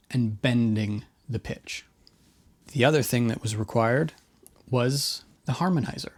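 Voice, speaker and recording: A middle-aged man hosting an informal explainer as a guitarist, talking casually with offhand asides. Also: medium pitch. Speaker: guitarist video host. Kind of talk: informal explainer narration to camera.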